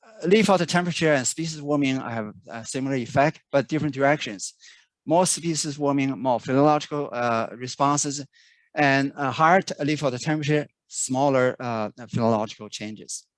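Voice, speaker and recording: Halting man giving a research talk. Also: medium pitch, no room sound. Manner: halting, many fillers and restarts. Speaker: researcher presenting. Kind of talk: conference talk. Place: remote video call.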